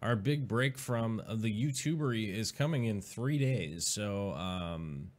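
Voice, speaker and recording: Low-voiced rambling man hosting a stream. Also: expressive, measured pace. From Pacific Northwest, USA. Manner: rambling, many fillers and restarts. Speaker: live stream host. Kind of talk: casual live stream chat.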